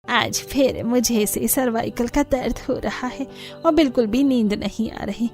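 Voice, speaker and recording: A woman's voice: on the high side, unhurried, a little mellow, soft background music. A woman voicing a complaining, suspicious middle-aged neighbour in a cartoon. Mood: pained, weary. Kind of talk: cartoon voice acting.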